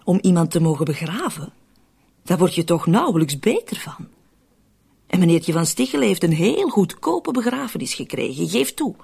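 An actress playing a cunning, cold woman in a radio drama, persuasive and controlled, with a husky, often breathy voice. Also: on the low side, very animated, normal speed, dark tone, full character voice. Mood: scornful. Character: cunning, cold, manipulative woman plotting to shift murder blame. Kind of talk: Dutch-language radio drama acting.

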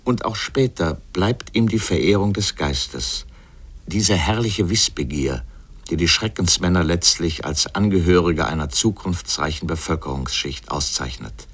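Male commentator for a radio essay, deep register, dry irony, erudite scripted delivery. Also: animated, measured pace, a bit bright, slightly rough.

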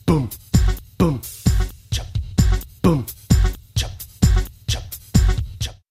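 A man's voice: on the high side, extremely slow. An actor in a radio play, playing a playful man voicing rhythmic dance-floor beats. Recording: long reverb, loud music. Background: loud music.

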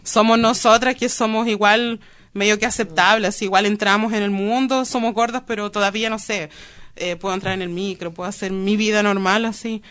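Smooth-voiced woman chatting informally. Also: medium pitch, fairly even intonation, brisk, fairly bright tone. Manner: informal, colloquial, emphatic repetition. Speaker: interview guest, activist. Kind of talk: casual interview chat.

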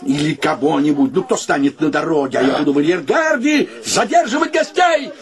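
A man's voice: a little high, expressive, a little fast, a crowd in the background, lightly acted. A man playing an urgent, commanding bachelor friend in a theatrical radio play, speaking like a conspirator.

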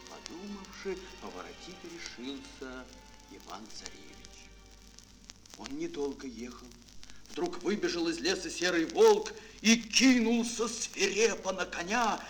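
A man tells a children's fairy tale in a highish, hugely expressive voice. He declaims dramatically at an unhurried pace, with music clearly behind him. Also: low-quality recording. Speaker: narrator. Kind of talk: theatrical scripted fairy-tale narration for children. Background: prominent music.

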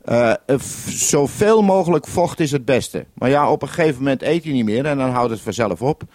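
A man's voice: mid-range voice, animated, fairly quick, a little mellow, dry recording. A man speaking in an instructive manner on a two-way radio link, giving step-by-step directions in a vintage 1970s broadcast. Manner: instructive, ends turns with radio procedure word. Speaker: radio programme maker on the mainland. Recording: 1971 radio broadcast recording.

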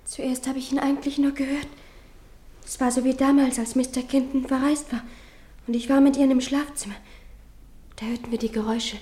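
A woman's voice: high voice, flat delivery, brisk, long pauses, warm tone, lightly acted. An actress playing a frightened, sullen young girl in a 1950s German radio drama, speaking timidly and plaintively. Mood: timid, haunted. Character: frightened, sullen young girl who claims ghost sightings.

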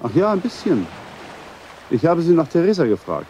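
An actor playing a composed, courteous man who probes discreetly in a German radio drama, speaking in a measured, polite way. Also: a little high, sing-song, mellow, slight background noise. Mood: casual, probing.

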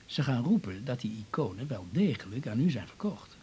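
An actor playing a businesslike, sceptical and insistent police investigator in a 1980s radio drama, with a Netherlands Dutch accent. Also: male voice, mid-range voice, very animated, fairly quick, a little mellow, slightly rough.

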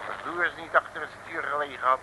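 A man's voice: fairly high pitch, steady tone, fairly quick, close to an everyday voice. An actor playing a folksy, jovial male detective in a 1950s radio serial, talking in jocular slang. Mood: jovial bluster. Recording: noisy old radio recording.

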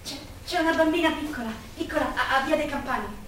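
An actress playing a childlike, mad young street singer in a radio play, with simple, erratic, singsong speech. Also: female voice, high-pitched, fast talker, a bit bright. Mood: insistent. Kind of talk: Italian stage-style radio theatre dialogue. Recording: echoey, distant room with crowd noise.

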